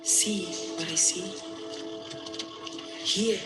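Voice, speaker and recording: A man's voice: sits high, fairly even intonation, very slow. A man from Zimbabwe speaking earnestly and from the heart in a short documentary clip. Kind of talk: documentary testimony. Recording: speech with music playing behind.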